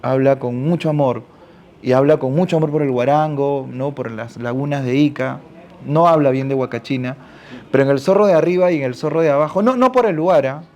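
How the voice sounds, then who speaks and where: A man's voice: mid-range voice, a little fast, darkish tone, dull audio. A man from Peru with a Peruvian accent, speaking as a panelist at a literary talk and thinking aloud, often ending phrases with a questioning tag. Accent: Peruvian Spanish. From Ica, Peru. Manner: thinks aloud, adds frequent questioning tags.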